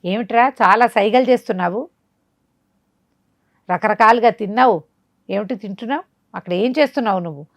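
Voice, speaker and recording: A woman's voice: mid-range voice, no room sound, lightly acted. Bedtime-story villain played by a woman: scheming male sorcerer, commanding and suspicious, insistent repetition, dry close sound. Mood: scolding, questioning. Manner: rapid questions and insistent repeated orders.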